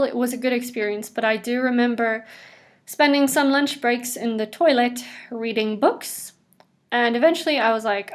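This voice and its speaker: A woman in her twenties tells her own story in a casual, upbeat way, with asides as she goes. Her accent is a blend of international English. Her voice sits a little above the usual pitch for a woman. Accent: mixed international English. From South Africa. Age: 20s. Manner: chatty and upbeat, with frequent laughs and asides. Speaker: vlogger. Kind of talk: casual personal narration over drawings.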